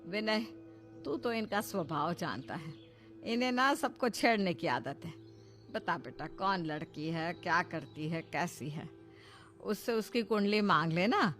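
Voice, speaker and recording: A woman's voice: fairly low pitch, lively intonation, unhurried, soft background music. A woman voicing a doting, naive older mother-in-law in a motherly, soothing manner for a cartoon. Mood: fond, chiding. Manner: soothing, simple-minded, motherly. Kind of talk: cartoon voice acting.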